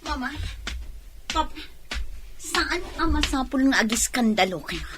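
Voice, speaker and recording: A woman's voice: high-pitched, expressive, measured pace, background noise. A Filipino woman acting a sensitive, earnest young daughter in an emotional radio drama. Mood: alarmed. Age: young adult. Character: sensitive young daughter pleading against an arranged marriage.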